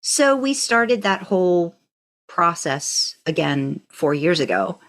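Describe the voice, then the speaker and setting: Female podcast co-host, lowish pitch, conversational storyteller, enthusiastic and discursive. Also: very animated, slow pace.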